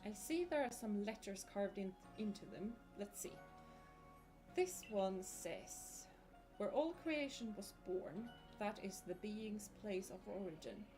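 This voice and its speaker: A woman's voice: medium pitch, animated, slow, over music, rough recording. A woman doing a gushing old-fashioned professor in a wobbly Scottish put-on. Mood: excited wonder. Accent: put-on Scottish attempt. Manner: theatrical, gushing, formal phrasing.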